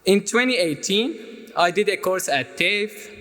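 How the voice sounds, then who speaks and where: A man's voice: high voice, lively intonation, a bit bright. Earnest young man speaking in a hall. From Syria. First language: Arabic. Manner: earnest, measured delivery with small restarts. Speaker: student speaker. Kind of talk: prepared speech. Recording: hall reverb.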